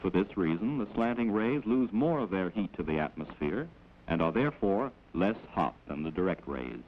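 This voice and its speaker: Male narrator, American, for a 1940s classroom film: lowish voice, explanatory delivery, dull vintage soundtrack with faint noise. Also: lively intonation. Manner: measured, explanatory, instructive. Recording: dull, noisy old 16mm film soundtrack.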